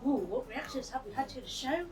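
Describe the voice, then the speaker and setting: A woman chatting casually in an interview, with a playful, expressive way of talking. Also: sits high, a little fast. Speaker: cast member being interviewed. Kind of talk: casual chat. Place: outdoors on a sea front. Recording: distant mic outdoors, faint music behind.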